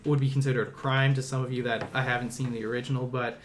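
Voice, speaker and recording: A man's voice: mid-range voice, little pitch movement, quick pace, a little hiss or hum. A man co-hosting a podcast, introducing and discussing films in casual conversation. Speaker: podcast co-host.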